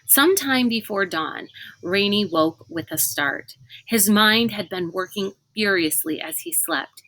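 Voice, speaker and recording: A woman's voice: medium pitch, animated, measured pace, sharp tone, no room sound, rough recording. A woman reading a children's novel aloud as scripted narration. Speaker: storybook reader.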